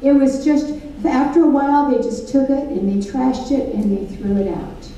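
A woman's voice: high-pitched, normal speed, dark tone, dull audio, a little hiss or hum. An older woman leading a church Bible study, folksy and conversational, laughing at her own stories, speaking into a handheld microphone on a stage. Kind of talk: Bible study teaching with anecdotes. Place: church hall stage with projector screen. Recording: echoey hall, from far off.

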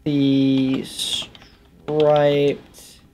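A man in his thirties giving casual gameplay commentary, halting and muttering to himself as he names his discoveries. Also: a little high, completely flat delivery, a little mellow, a little hiss or hum. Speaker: gaming video host. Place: small indoor room at a computer.

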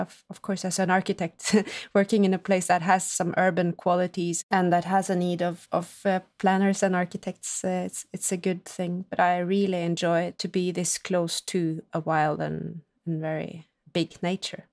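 A woman's voice: on the low side, a little mellow. A woman from Norway, an architect, answering interview questions casually, repeating words as she goes. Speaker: architect guest.

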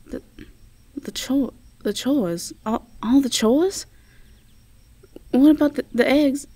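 A sweet, easily flustered Southern belle farm girlfriend played by a woman in a roleplay audio drama. Her Southern US voice is soft-edged and mellow, a little high, and unhurried. She talks affectionately, with hesitant stammers, close and dry. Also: no echo. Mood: surprised, concerned.

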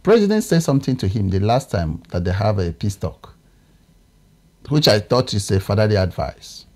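A man in his thirties with a Nigerian English accent, a political analyst talking in a TV studio, often pausing to search for words. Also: lowish voice, big pitch swings, a little mellow. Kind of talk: studio discussion.

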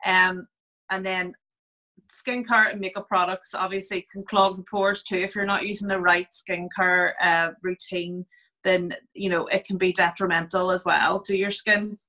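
A woman in her thirties with a bright, forceful voice walks through skincare advice on a video call. She talks casually, with frequent fillers, explaining each point in turn. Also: lowish voice, flat delivery, normal speed. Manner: chatty, many fillers, explains step by step. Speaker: skin clinic therapist.

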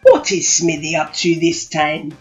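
A woman's voice: deep voice, steady tone, measured pace, voice pushed down. A woman puts on a weary old storybook spoon, a doubting fellow who questions everything. Music sits beneath the voice and the sound is a little lo-fi. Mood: puzzled. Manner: questioning, dubious. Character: tired old male wooden spoon, doubtful grumbler.

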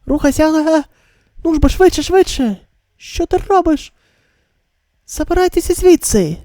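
A woman playing a panicky, high-pitched young survivor. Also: normal speed, no echo, studio quality. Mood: urgent alarm. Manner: urgent, excitable, exclaiming. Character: frightened, panicky young survivor fleeing monsters. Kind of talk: amateur live manga dub.

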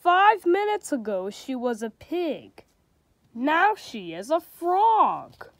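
A woman voicing an anxious, easily alarmed male elephant from a picture book, reading slowly and wonderingly. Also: sits high, big pitch swings, full character voice. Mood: worried. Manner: slow, wondering storybook reading.

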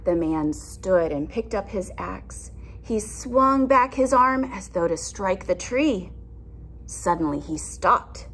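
A woman's voice: mid-range voice, lively intonation, slow pace, a bit bright. A woman in her thirties, a teacher reading a picture book aloud to young children, expressively and with simple explanations and questions. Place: indoor classroom with alphabet wall displays. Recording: clear background noise.

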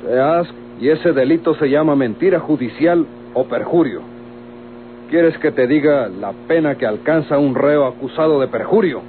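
An actor playing a stern, officious public prosecutor in a Mexican radio soap opera, with a Mexican accent and formal, authoritative phrasing. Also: male voice, a little high, moderate pace, lightly acted. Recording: tinny old radio recording, clearly noisy.

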